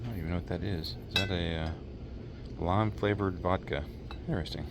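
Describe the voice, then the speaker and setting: A man's voice: sits low, a little fast. A man with a Southern accent, hosting a casual whiskey vlog while browsing a liquor store and thinking aloud with lots of fillers. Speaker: whiskey channel host. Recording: noisy store ambience.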